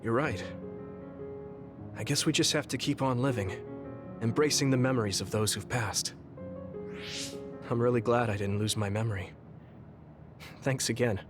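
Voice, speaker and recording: A man's voice: mid-range voice, moderate pace, long pauses, natural voice. A man voicing an earnest, sincere young male soldier in an anime game dub, reflective in delivery. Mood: grateful, hopeful. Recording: music playing behind the voice. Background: game background music.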